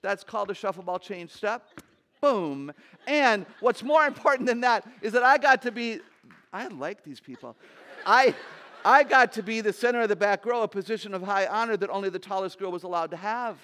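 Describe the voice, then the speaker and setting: Woman in her sixties, low voice, animated humorous speaker, large stage, headset mic. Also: normal speed. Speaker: conference speaker.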